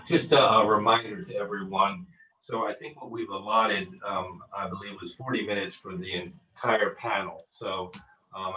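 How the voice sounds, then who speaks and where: A man's voice: low-pitched, roomy sound, recorded from a distance, highs cut off. A man chairing a public hearing, hesitant and full of fillers.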